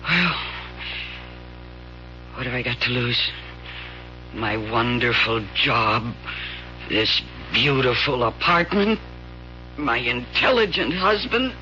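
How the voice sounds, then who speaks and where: A woman's voice: rumbling low, expressive, slow, long pauses, pushed and bright, heavily acted. An actress playing a desperate, remorseful middle-aged woman in an old-time radio drama, dramatic and pleading. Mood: bitter resignation. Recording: dull vintage radio recording with music.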